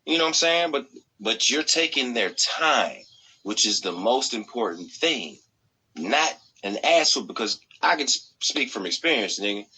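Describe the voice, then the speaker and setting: A man's voice: medium pitch, lively intonation, moderate pace, bright, forceful tone, husky, poor audio. An American man in casual podcast chat as co-host, blunt and slangy, repeating himself for emphasis.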